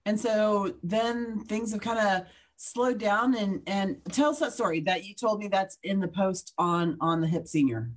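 A woman hosting a podcast who welcomes her guest and asks questions in a casual, friendly way, with quick asides. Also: medium pitch. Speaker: podcast host.

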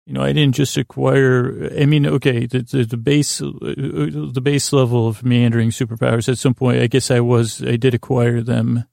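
A man delivers a soothing, meandering bedtime monologue as a podcast host. His creaky voice is soft-edged and stays within a very flat range. He stumbles and circles back in a halting, self-deprecating way. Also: mid-range voice, no echo, studio quality.

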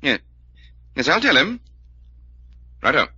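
A man acting in 1940s radio style as a polite, cautious, earnest English gentleman adventurer with a clipped British accent. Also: on the high side, very animated, slow pace, noisy background. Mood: urgent. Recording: muffled old radio recording.

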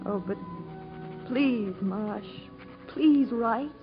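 An actress playing a lonely, tender wife pleading with longing in a 1950s radio melodrama. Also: female voice, a little high. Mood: yearning, pleading. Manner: slow, yearning, pleading. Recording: dull 1950s radio recording over music. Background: loud dramatic music.

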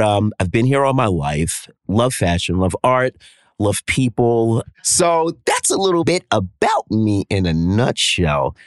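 Animated New York man in his thirties. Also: lowish voice, no room sound, studio quality. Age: 30s. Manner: animated, playful, theatrical emphasis. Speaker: co-host, stylist. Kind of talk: casual chat.

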